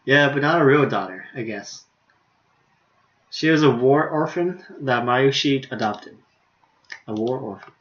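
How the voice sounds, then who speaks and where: A man livestreaming a visual novel, reading the dialogue aloud haltingly with stumbles and self-corrections, and adding casual commentary. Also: mid-range voice, lively intonation, fairly bright tone, lo-fi sound.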